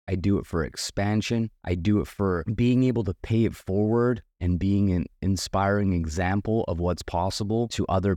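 A man hosting a solo podcast, earnest and motivational, his voice a bit hoarse from losing it, with a slight cough. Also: low voice, a little mellow, dry recording, clean studio sound. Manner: earnest, motivational, with many restarts. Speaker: podcast host.

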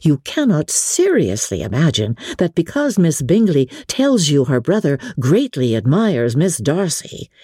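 A woman voicing a brisk, witty young sister from a classic novel, assured and wry, reasoning in formal period speech. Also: on the high side, dark tone, no echo, studio quality, pitched above the performer's own voice, heavily acted. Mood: insistent. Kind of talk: dramatic audiobook reading.

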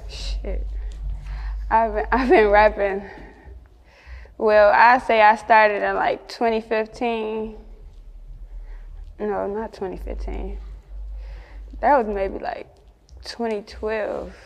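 A woman's voice: mid-range voice, little pitch movement, slow, long pauses. Chicago woman in an interview, takes her time, slangy n candid, echoey room. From Chicago, United States. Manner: candid, slangy, often chuckling. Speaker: interview guest, rapper. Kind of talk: casual interview chat.

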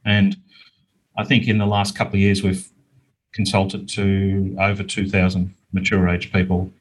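A man's voice: low-pitched, monotone, a little slow, breathy edge, low-quality recording. A middle-aged Australian man answering interview questions in an earnest, plain-spoken, conversational way. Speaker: interview guest, small business owner.

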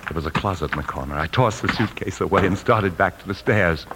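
Actor as a deep-voiced, guilt-ridden American husband. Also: male voice, fast, noisy background, close to an everyday voice. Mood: grave, confessional. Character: guilt-ridden, weary husband and bank employee turned thief. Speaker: lead actor and narrator. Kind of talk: 1950s radio drama acting, first-person narration. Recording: 1950s radio broadcast with music.

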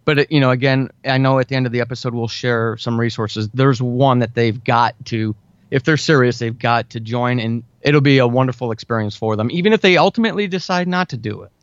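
A man talks casually as an interview guest, explaining things step by step in an informal, conversational way. His voice sits at a medium pitch. The recording is dry and slightly dull, with little room sound. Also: a little fast, no echo. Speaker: podcast guest, writer and audio drama podcaster. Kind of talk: casual interview talk, giving advice.

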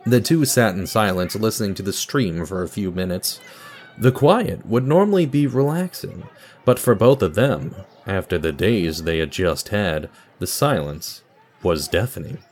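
A man's voice: deep voice, animated, normal speed, darkish tone, slight background noise. A man giving scripted third-person narration for an audio drama.

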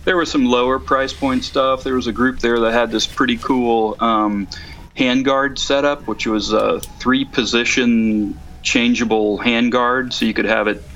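A middle-aged man giving a casual, unscripted report as a podcast correspondent, measured and matter-of-fact. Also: mid-range voice, fairly even intonation, a bit bright. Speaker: call-in correspondent on a motorcycle podcast.

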